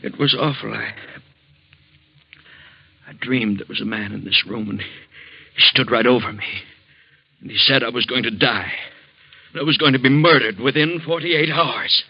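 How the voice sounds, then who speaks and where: A man's voice: medium pitch, normal speed, gravelly, pauses often, lightly acted. An American man playing a frightened middle-aged husband haunted by a nightmare, gasping and melodramatic in 1940s radio style. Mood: shaken, dazed. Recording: muffled old radio recording.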